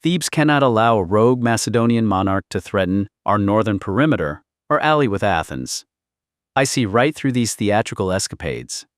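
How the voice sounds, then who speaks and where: A stony foreign strategist read by a man in an audiobook speaks with cold, venomous precision and open disdain. The voice is fairly low, the recording dry. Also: animated, moderate pace, no room sound, close to an everyday voice.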